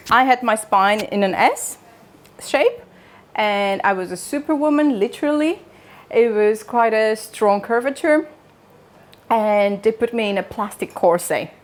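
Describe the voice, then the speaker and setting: A woman's voice: mid-range voice, slight background noise. Romanian-accented woman telling her personal story. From Transylvania, Romania. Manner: conversational, joking asides, questions to listeners. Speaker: speaker at a wellness talk. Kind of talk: informal public talk, personal storytelling.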